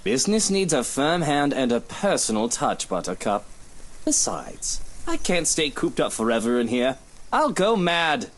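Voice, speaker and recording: Lively, high-voiced British husband. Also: male voice, a little slow. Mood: breezy, patronising. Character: wealthy, breezy, dismissive young British husband. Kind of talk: visual novel voice acting.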